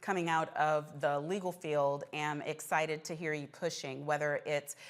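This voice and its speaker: A woman's voice: sits low, little pitch movement, measured pace. An American woman speaking as the host of a news interview, putting questions to guests.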